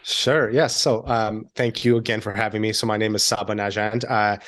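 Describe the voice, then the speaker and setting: A man in his thirties, born in Iran and raised near Washington DC, chatting as a guest over a call, friendly and quick to laugh. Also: fairly low pitch, level tone, fairly bright tone. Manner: friendly, laughs easily, filler-heavy. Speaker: entrepreneur guest. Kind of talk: casual interview chat.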